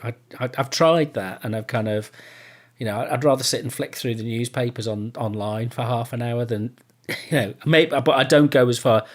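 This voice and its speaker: A man's voice: medium pitch, normal speed. A middle-aged man, a podcast host chatting casually in a jokey manner. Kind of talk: casual chat.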